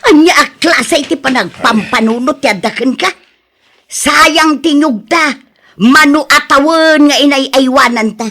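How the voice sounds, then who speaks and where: A woman's voice: sits high, unhurried, pushed and bright, full character voice. A woman with an Ilocano accent playing an exasperated, pleading village wife in a radio drama. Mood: distraught.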